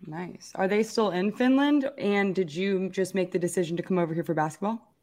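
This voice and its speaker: A woman's voice: fairly low pitch, fairly quick, a little mellow. A woman co-hosts a college sports show, opening the episode and asking the guest casual questions. Her delivery is upbeat and easygoing. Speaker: podcast co-host. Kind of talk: friendly interview hosting.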